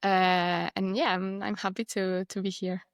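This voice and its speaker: A young Spanish woman talks in English with a clear Spanish accent. She pauses often, fills gaps with "uh" and laughs easily. The sound is dry and close, with no room around her. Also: mid-range voice, flat delivery, no room sound. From Spain. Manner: hesitant, many fillers, laughs often. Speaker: guest. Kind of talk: casual interview.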